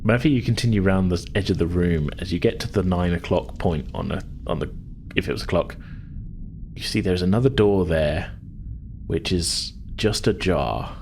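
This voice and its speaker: A man's voice: deep voice, normal speed, darkish tone, slight background noise. A man speaking as game master, improvising tabletop narration and chatting casually in a hesitant way.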